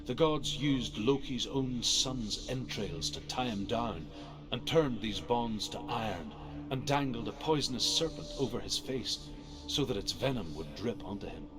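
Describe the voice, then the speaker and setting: A man playing a solemn storyteller of old Norse myths in a video game, narrating in a grave, measured way. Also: mid-range voice, expressive, music in the background, close to an everyday voice, rough recording.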